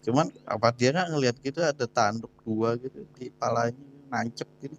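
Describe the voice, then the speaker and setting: A man's voice: medium pitch, fast talker, highs cut off, a little hiss or hum. A man with an Indonesian accent giving casual reactions as he streams a video game.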